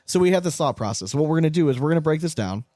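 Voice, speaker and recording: A man's voice: fairly high pitch, lively intonation, racing, mellow, dry recording, clean studio sound. A man co-hosting a sports podcast in casual banter, with a blunt, slangy manner. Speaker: podcast co-host.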